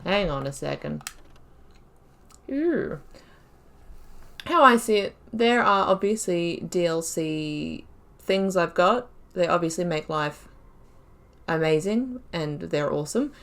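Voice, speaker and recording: A woman giving casual commentary while playing a game, thinking aloud and breaking into excited exclamations. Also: fairly low pitch, slow, vocal fry, long pauses. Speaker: let's play host.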